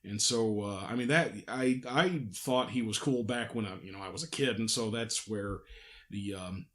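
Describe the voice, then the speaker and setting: A man hosting a podcast in casual conversation, explaining things haltingly and often correcting himself. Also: on the low side, fairly bright tone. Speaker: podcast host, audio drama producer. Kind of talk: casual behind-the-scenes podcast chat.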